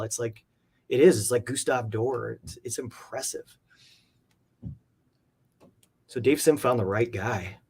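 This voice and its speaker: A man chatting casually as an interview guest, earnest and halting, circling back over phrases for emphasis. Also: mid-range voice, a bit bright. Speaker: guest, comic art collector.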